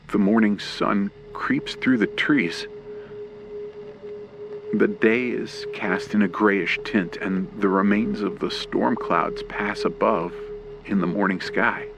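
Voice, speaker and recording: A man narrates a horror story in the first person, in the manner of a classic radio play. His low voice has a heavy gravelly creak and moves very slowly, with dramatic pauses. Noisy ambient effects sit clearly behind him. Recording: clear background noise with ambience and vehicle sounds. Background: rain, ambience and vehicle sound effects.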